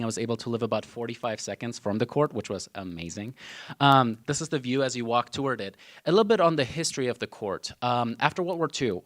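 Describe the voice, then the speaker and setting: A man in his twenties, from Germany, giving a university talk with slides as a law student, with frequent "um" and "uh" fillers and casual asides. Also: medium pitch. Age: 20s.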